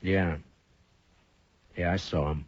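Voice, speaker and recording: Casting: a deep, measured lawman, old muffled radio sound. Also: dry recording. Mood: grave. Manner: measured, steady. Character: steady frontier marshal, calm and firm. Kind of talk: 1950s radio acting. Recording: muffled 1950s radio broadcast.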